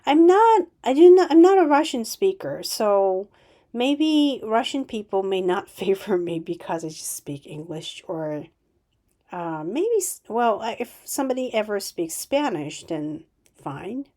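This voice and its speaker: A woman's voice: medium pitch, very animated, unhurried, warm tone. A woman hosting a solo podcast, speaking off the cuff with many restarts and sudden emphatic bursts. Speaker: podcast host. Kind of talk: unscripted solo podcast monologue.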